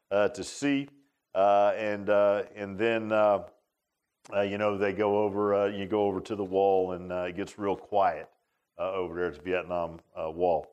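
An American man in his sixties preaching an informal sermon in a halting, folksy, conversational way, often repeating words. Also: lowish voice, clear, resonant tone. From United States. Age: 60s. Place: speaking in a church.

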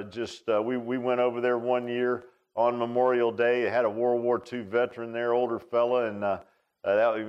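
An American man in his sixties preaching an informal sermon in a halting, folksy, conversational way, often repeating words. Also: mid-range voice, clean tone, lo-fi sound. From United States. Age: 60s. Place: speaking in a church.

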